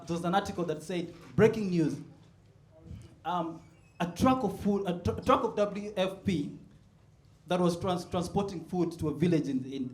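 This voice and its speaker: A man works a stand-up set in a comedy club. His voice sits fairly high, and he keeps chuckling as he pushes toward loud punchlines. The sound is roomy, like a live club. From South Sudan. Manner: laid-back, chuckles at his own jokes, builds to loud punchlines.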